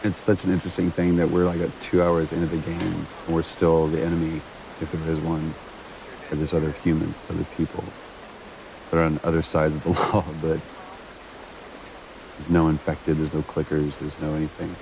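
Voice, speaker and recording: A man with a husky, gravelly voice giving casual commentary on a game. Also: very deep voice, monotone, fairly quick, noisy background. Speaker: commentator who worked on the game.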